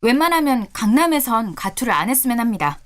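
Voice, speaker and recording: A woman's voice: on the high side, sing-song, fast, fairly bright tone. A woman voicing a chatty, earnest young university activist who speaks up to a senior. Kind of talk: audio drama acting.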